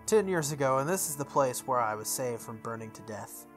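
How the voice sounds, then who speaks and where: Expressive reader stumbling through game text over music. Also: mid-range voice, brisk. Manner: stumbles over words and repeats phrases. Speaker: gamer reading a visual novel aloud. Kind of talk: playthrough read-aloud with offhand asides.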